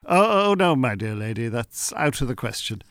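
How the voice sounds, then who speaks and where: A man's voice: mid-range voice, sing-song, normal speed, a bit bright, clean tone, no room sound, clean studio sound. A man with an Irish accent playing a calculating con-artist hypnotist in a radio drama, courteous, soothing and unctuous. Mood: evasive, professional. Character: smooth, calculating male con-artist hypnotist posing as scientist.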